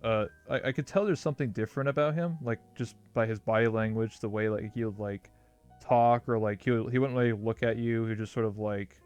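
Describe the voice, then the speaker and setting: A young man with a smooth, clear, lowish voice tells a story in a loose, rambling way, filling it with "like." Faint music plays in the background. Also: fairly quick, darkish tone. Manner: rambling storyteller, heavy use of "like". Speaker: interview host. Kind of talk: casual chat.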